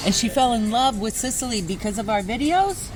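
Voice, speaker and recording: A woman's voice: fairly high pitch, lively intonation, brisk, music in the background, poor audio. A woman hosting a travel show, interviewing people in a friendly, upbeat way. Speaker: show host.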